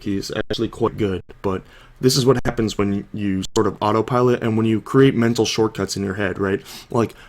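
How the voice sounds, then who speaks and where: A young man with a sore throat, casually talking through a card game match over the footage, thinking aloud with lots of "like" and "you know". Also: on the low side. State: sore throat, coming down with a cold. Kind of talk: casual video commentary.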